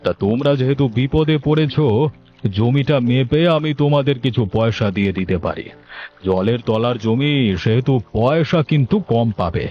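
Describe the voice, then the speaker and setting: A man voicing a sly, condescending land broker in a Bangla cartoon, with a measured, hinting delivery. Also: mid-range voice, lo-fi sound, close to an everyday voice. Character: sly bribe-seeking land broker gentleman.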